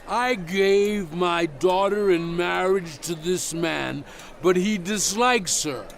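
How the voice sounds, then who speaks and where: A man playing a solemn, stern prophet proclaiming ancient law in a grave, deliberate, emphatic dramatized scripture reading. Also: on the high side, a bit bright, heavily acted.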